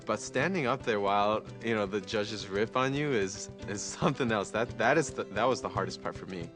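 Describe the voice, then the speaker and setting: A man's voice: on the low side, fairly quick, music in the background. A young man from Chicago and Korea, a native Korean speaker, talking about himself as a singing contestant in a TV profile interview. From born in Chicago, partly raised in Korea. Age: young adult.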